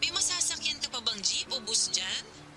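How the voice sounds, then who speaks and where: A woman's voice: sits high, very animated, normal speed, pushed and bright, heavily acted. A woman playing an older sister anxiously questioning her younger sibling over the phone in a radio drama. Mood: worried. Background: background music.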